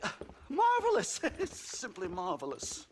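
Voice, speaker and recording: An actor playing a pompous, excitable academic professor in a video game, stammering and condescending as he talks. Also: very high-pitched, unhurried, slight background noise, heavily acted. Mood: nervous, patronizing. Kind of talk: theatrical video game acting.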